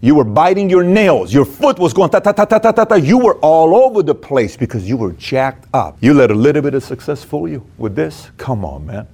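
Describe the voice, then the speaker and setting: Man, somewhat high-pitched voice, motivational coaching talk, punchy short phrases, rhetorical questions, emphatic delivery. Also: sing-song, brisk, hardly any pauses, darkish tone.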